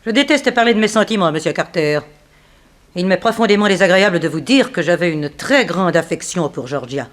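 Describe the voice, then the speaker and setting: An actress playing a proud, composed woman under suspicion in a 1960s French radio drama, speaking in a formal, measured, self-controlled way. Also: lowish voice, animated, lightly acted. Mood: cool, dignified. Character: composed, proud female companion under suspicion, coolly reasoning.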